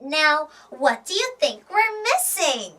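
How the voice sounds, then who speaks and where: A woman's voice: sits high, very animated, slow, bright, forceful tone, slight background noise. A woman hosting a playful children's English storytime, with exaggerated, sing-song delivery, repeating words for young learners.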